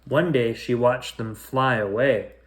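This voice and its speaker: A man's voice: mid-range voice, slow. A man narrating a children's storybook as a bedtime reader, telling it phrase by phrase.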